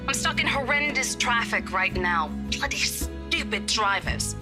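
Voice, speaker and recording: An impatient, breezy female coworker calling in a visual novel game. Her voice is high, her manner brisk and informal, and music is clearly heard underneath. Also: lively intonation. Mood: brisk, irritated.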